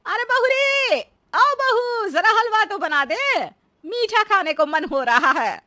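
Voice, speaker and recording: Woman putting on a shrill, demanding older mother-in-law. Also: lively intonation, pushed and bright, dry recording, clean studio sound, full character voice. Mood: wheedling command. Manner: drawn-out, imperious calling.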